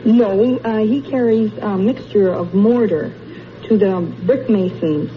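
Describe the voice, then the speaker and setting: A woman's voice: medium pitch, measured pace. Polite woman from california answering questions on an old radio quiz, muffled sound with a little hiss. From Monterey, California. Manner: soft-spoken, short polite answers. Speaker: quiz contestant. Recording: dull old radio broadcast with faint hiss.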